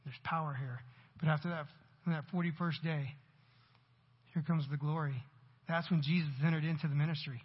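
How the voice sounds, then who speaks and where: A man in his forties preaches in a husky, fairly high voice, chatting with the congregation one moment and pushing hard on a line the next. His sermon rings with a long church-hall reverb. The recording sounds muffled and lo-fi. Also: fairly even intonation, brisk, long pauses. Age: 40s. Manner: conversational preaching with rising emphatic bursts. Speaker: pastor. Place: church sanctuary.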